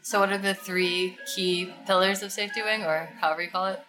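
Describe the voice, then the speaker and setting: A woman from the United States co-hosting a podcast, talking in a quick, enthusiastic way. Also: mid-range voice, steady tone, music in the background, low-quality recording. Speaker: podcast co-host. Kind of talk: casual interview talk.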